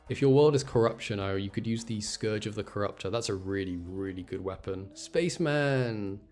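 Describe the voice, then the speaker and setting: A man's voice: on the low side, a little mellow. A young man doing a casual gaming livestream, rambling and thinking aloud as he goes. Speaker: game streamer. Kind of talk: casual gaming stream chat.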